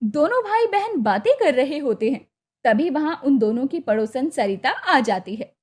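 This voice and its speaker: Very high-pitched woman storyteller. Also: big pitch swings, moderate pace, darkish tone. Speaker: narrator. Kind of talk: scripted storytelling narration.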